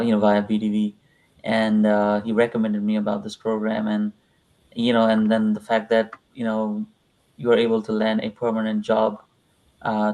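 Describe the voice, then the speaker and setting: A man's voice: on the low side, a little mellow, poor audio. A young man from Nepal, a guest in a live interview, talking casually and hesitantly with many fillers. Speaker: interview guest, visa client.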